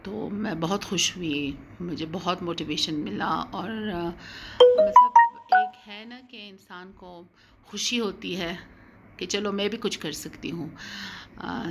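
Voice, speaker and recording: A woman's voice: fairly low pitch, a bit bright. A middle-aged woman narrating a casual home vlog in a hesitant way, often repeating her phrases. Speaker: vlogger. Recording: clear background noise, home ambience.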